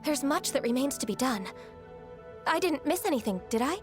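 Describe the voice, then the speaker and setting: A woman's voice: sits high, very animated, normal speed, music in the background. A woman playing a dutiful official in a video game, speaking in a formal, businesslike manner. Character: formal, dutiful female official and ceremony announcer.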